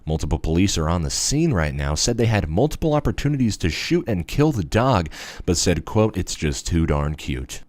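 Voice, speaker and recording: A man's voice: big pitch swings, moderate pace, a little mellow, no room sound, studio quality. A man putting on an over-dramatic TV news anchor voice for a mock newscast. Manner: booming, exaggerated radio announcer delivery.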